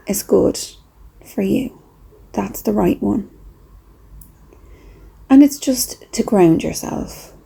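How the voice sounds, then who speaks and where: Slow, creaky-voiced woman guiding meditation. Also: mid-range voice, rough recording. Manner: slow, soft, reflective, with pauses. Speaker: meditation guide. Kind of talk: gentle guided meditation, reflective talk.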